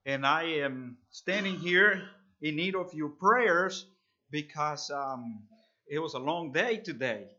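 A man preaches a revival sermon, earnest and halting, often pausing on fillers. His voice sits a little high and sounds bright and forceful. The recording is dull and lo-fi. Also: lively intonation. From El Salvador. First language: Spanish. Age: 30s. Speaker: visiting preacher.

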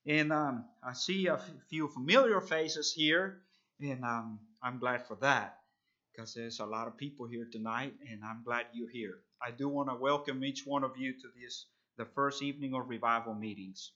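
Earnest preacher, bright voice. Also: male voice, mid-range voice, dull audio. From El Salvador. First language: Spanish. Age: 30s. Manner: halting, earnest, with frequent fillers. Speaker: visiting preacher. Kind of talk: sermon.

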